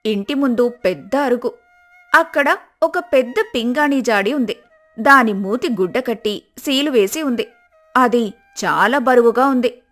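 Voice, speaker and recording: A woman's voice: on the high side, measured pace, fairly bright tone, soft background music. A woman narrating a scripted folk tale as storyteller.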